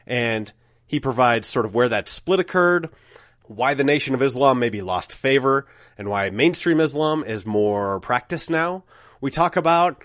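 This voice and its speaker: A man's voice: on the high side, fairly bright tone, dry recording, studio quality. A middle-aged man with a cold, hosting a podcast and speaking in an earnest, candid, conversational way. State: has a cold. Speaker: podcast host. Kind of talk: casual podcast intro monologue.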